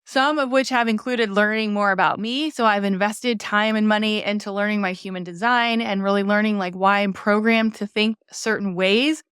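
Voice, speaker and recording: Smooth-voiced woman podcast host, chatty. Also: mid-range voice, a bit bright, no room sound, clean studio sound. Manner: conversational, frequent "like" and "right?"; encouraging, asks listeners questions. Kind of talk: reflective solo podcast monologue.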